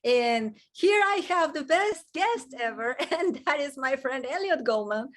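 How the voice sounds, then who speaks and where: A woman's voice: high-pitched, expressive, measured pace, a little hiss or hum. A woman with a non-native accent hosting a casual video chat, laughing easily. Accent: foreign-accented English. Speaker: host.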